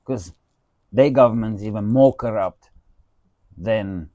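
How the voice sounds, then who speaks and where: A podcast host from South Africa with an Afrikaans accent, telling stories in a casual chat, animated and swearing, often saying "dude". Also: male voice, fairly low pitch, slow, no room sound, lo-fi sound. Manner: animated, swearing storyteller who says "dude" a lot.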